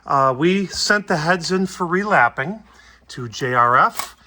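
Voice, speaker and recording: A man's voice: on the high side, expressive, a little slow, bright, forceful tone. A middle-aged man giving a casual, conversational demonstration as a hobbyist host, with an enthusiastic manner. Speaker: hobbyist host demonstrating vintage audio gear. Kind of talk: casual product demo talk.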